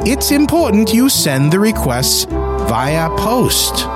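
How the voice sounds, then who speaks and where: A man's voice: high voice, full character voice. A man reading a scripted horror-comedy story with theatrical, mock-serious narration.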